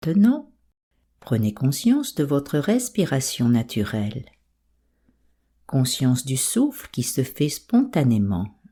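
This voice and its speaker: A woman with a soft, breathy voice calmly guiding a yoga nidra meditation in an unhurried, soothing way. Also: rumbling low. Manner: calm, soothing, unhurried instruction. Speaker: meditation guide.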